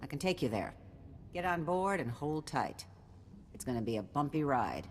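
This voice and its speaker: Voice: mid-range voice, sing-song, moderate pace, a little hiss or hum, lightly acted. An actor playing a brash shuttle pilot who talks in a casual, cocky way in a video game cutscene.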